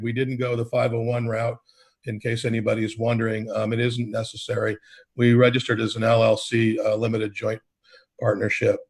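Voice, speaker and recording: Husky guy on a zoom panel, flat steady voice, lots of ums. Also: medium pitch. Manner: flat, steady delivery with many fillers. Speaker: state coalition leader. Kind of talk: panel discussion on a video call. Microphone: video call.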